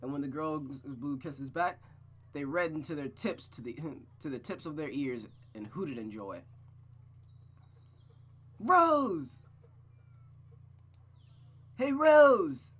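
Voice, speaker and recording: A man's voice: medium pitch, normal speed, breathy edge. Chatty young man hosting a story stream. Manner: energetic, chatty, swears casually. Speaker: host reading a visual novel. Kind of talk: casual gaming chat and story narration.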